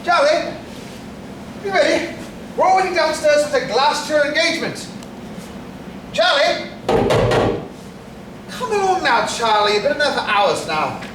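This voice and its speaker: An actor playing a blustering, alarmed upper-class gentleman in a staged whodunit, emphatic and commanding. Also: sits high, big pitch swings, measured pace, pauses often. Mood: impatient, hearty. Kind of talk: theatrical staged whodunit acting. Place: stage in a hall, recorded from afar. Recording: echoey hall, distant mic, noisy.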